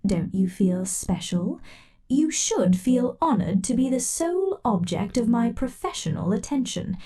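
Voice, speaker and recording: A woman performing an ASMR roleplay as a possessive, controlling scientist who addresses the listener in a soft, slow, menacing way. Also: on the high side, very animated.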